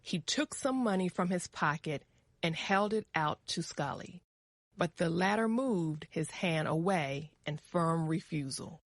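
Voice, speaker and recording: Female story narrator, lowish register, measured pace, dramatic reading for English learners. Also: lively intonation, no room sound, studio quality.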